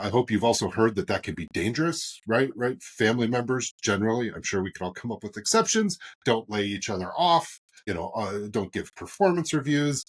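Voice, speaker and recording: A man in his forties hosting a business podcast, talking in a rambling way with lots of fillers and animated emphasis. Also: medium pitch, breathy edge. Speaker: podcast host and moderator.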